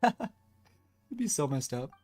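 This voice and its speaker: Chill game streamer rambling and musing live, medium pitch voice, soft music in the back. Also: big pitch swings, a little slow. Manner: rambling, musing, tangent-prone. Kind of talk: casual live game commentary.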